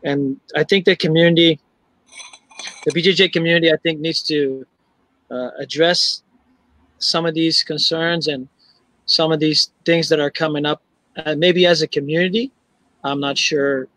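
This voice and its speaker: Halting male podcast host, dry sound. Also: on the high side, steady tone, a bit bright, no room sound, rough recording. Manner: halting, many restarts while framing questions. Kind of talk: casual interview questions.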